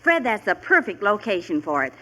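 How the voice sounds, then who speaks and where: An actress playing a fussy, excitable middle-aged suburban wife and mother in an old radio comedy, insistent and exclamatory. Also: mid-range voice, brisk. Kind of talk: 1940s radio sitcom acting.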